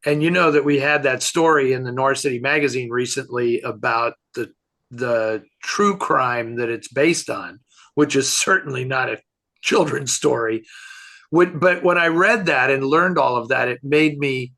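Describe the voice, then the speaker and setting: A man chatting casually as a film expert answering questions, halting and thinking aloud as he offers his opinions. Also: mid-range voice, bright, forceful tone.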